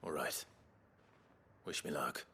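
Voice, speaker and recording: An actor playing a brooding but courteous mercenary hero in a video game, earnest, restrained and terse. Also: male voice, very deep voice, takes it slowly, heavy background noise, heavily acted. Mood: resolute, polite. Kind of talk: scripted video game drama.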